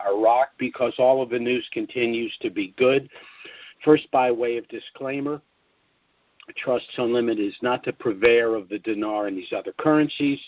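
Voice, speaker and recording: A man hosting a conference call, speaking in a measured, formal way with frequent filler pauses. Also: mid-range voice, no echo, highs cut off. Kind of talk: scripted briefing on a call.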